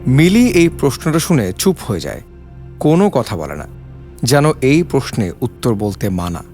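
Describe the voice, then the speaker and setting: A man's voice: mid-range voice, sing-song, moderate pace, dark tone, soft background music. A man giving a dramatic scripted narration of an audio story.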